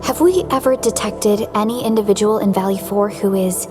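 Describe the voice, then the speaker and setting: Woman playing composed young female leader, game cutscene, formal, dutiful, mid-pitched, background music. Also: lightly acted. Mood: composed, concerned. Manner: measured, formal, polite. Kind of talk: video game character acting.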